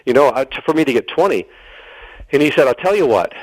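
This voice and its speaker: Middle-aged male interview guest, mid-pitched voice, animated earnest storyteller who repeats phrases for emphasis and acts out dialogue. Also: fast, dry recording, poor audio.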